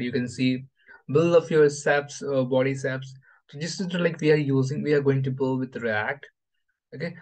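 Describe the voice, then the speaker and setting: Male tutorial host, mid-pitched, informal, halting delivery with repeated phrases, narrating a screen demo. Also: low-quality recording. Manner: halting, repetitive phrasing with frequent "you know". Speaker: host of a coding tutorial channel. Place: voice-over for a screen recording.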